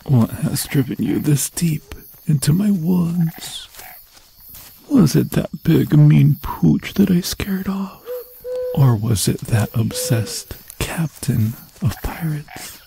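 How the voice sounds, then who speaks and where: A man voicing an obsessive, lovesick forest demon in an ASMR fantasy roleplay, breathy and slipping into whispers, with a theatrical, sweetly taunting manner. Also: on the high side, animated, slow pace, dark tone, a little hiss or hum, voice pushed up, full character voice. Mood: taunting, playful.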